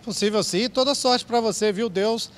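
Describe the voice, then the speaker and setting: A man's voice: very high-pitched, moderate pace. A Brazilian man working as a TV reporter, informally interviewing people live in a public square. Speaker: TV reporter hosting audience participation. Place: outdoor public square, on a small stage. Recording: outdoor TV recording with faint street noise.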